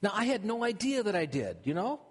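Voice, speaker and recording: An older man with a Minnesotan accent preaches in a conversational way, often starting a sentence over. His voice sits a little high for a man. A long reverb trails each phrase, as in a large hall. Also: very animated, brisk, husky. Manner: conversational, with frequent restarts. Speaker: pastor. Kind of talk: sermon.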